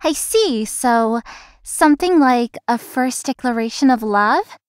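A woman's voice: on the high side, expressive, takes it slowly, smooth, no echo, studio quality. A woman voicing a young, friendly but world-weary florist in an anime-style romance game. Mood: polite, helpful. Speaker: voice actor in a visual novel. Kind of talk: scripted anime-style game dialogue and inner monologue.

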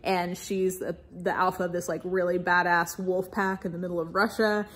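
A woman's voice: fairly low pitch, flat delivery, normal speed, fairly bright tone, slightly rough. Gushing young woman chatting about books. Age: young adult. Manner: gushing, emphatic, informal. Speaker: book reviewer, vlogger. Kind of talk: casual chatty book review to camera. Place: small-to-medium living room indoors with bookshelves.

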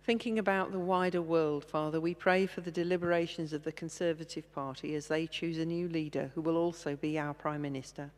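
A woman leading intercession prayers in a church service, reading slowly and steadily at a stand microphone. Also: sits low. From England. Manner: slow, measured reading. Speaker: intercessions leader. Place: large church, indoors. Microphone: stand mic, far camera. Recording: long church reverb.

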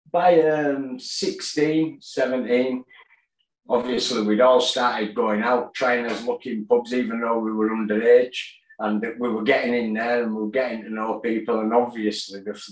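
A man, telling his story plainly in a video-call interview, with fillers and pauses. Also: medium pitch, level tone. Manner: plain-spoken, halting with fillers. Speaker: guest, boxer and gym owner. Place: kitchen at home, indoors. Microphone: tablet on a video call. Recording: echoey room.

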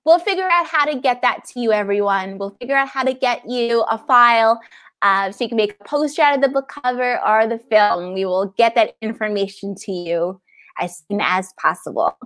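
Upbeat woman hosting, slightly high voice. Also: moderate pace, a bit bright. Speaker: library event host. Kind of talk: casual interview chat. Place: indoor room, video call. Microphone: earphone headset on video call.

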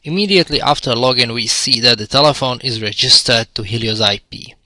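Very bright-voiced man presenting a tech tutorial. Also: mid-range voice, fairly quick. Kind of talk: instructional product demo voice-over.

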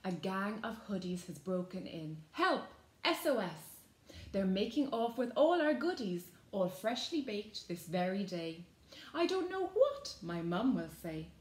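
A woman's voice: medium pitch, lively intonation, slow, a little mellow. A woman voicing a distressed, fretful baker who exclaims dramatically in a storybook. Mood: panicked. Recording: roomy echo.

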